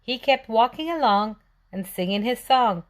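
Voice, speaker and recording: A woman's voice: fairly high pitch, animated, moderate pace. A woman reading a storybook aloud for young children, expressively asking questions and answering them. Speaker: children's read-aloud presenter.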